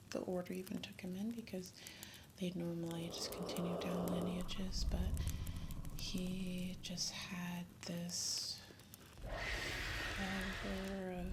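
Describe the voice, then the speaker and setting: A gentle young woman dragon rider, played by a woman, shares her past in an intimate fantasy ASMR roleplay. Her whisper is breathy and slightly low, with a crackly fry at the edges. Loud campfire and night sounds surround the hushed, halting storytelling. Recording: loud ambient nature sound throughout. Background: campfire and night nature ambience.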